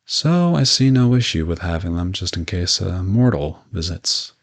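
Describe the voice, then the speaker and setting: Man acting as male vampire, deep voice, vocal fry, courteous, reassuring, matter-of-fact, conversational audio roleplay. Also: sing-song, normal speed. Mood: calm, reassuring. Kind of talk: roleplay audio drama addressed to the listener.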